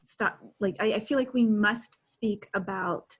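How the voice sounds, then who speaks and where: A woman co-hosting a casual book discussion on a video call, talking in halting bits with many asides. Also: medium pitch, fairly bright tone, husky. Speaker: co-host. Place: indoor room at home, video call.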